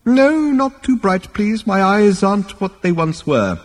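A man voicing an ancient, scornful ghostly alien great-grandfather from a comic science fiction story, screechy and rasping, snappish and pompous. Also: high-pitched, big pitch swings, long reverb tail, pitched above the performer's own voice. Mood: querulous. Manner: snappish, drawn-out, withering, pompous.

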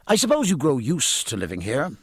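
A man voicing an inquisitive, learned gentleman in an audiobook, formal and precise, questioning life aboard ship. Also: medium pitch, huge pitch swings, fairly quick, slightly rough, dry recording, studio quality, pitched above the performer's own voice, full character voice. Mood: courteous, curious. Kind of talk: audiobook character acting.

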